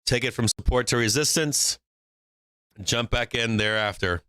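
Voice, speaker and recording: A man's voice: mid-range voice, measured pace, sharp tone, clean tone, no room sound, studio quality. A man from Boston hosting a live stock trading stream, brash and joking, laughing often between calls. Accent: faint Boston. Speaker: stock market livestream host.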